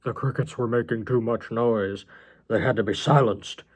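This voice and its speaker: A man's voice: mid-range voice, steady tone, normal speed, husky. A man voicing an unhinged grandpa who is childish, wounded and menacing, in a folksy, aggrieved manner, for a story reading. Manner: folksy, aggrieved, calls people little buddy.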